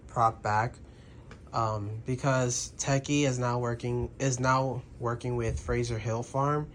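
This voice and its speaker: A slightly hoarse man, half sick, casually reviewing a prop in a bedroom with frequent throat clearing and restarts. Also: medium pitch, fairly bright tone, slight background noise.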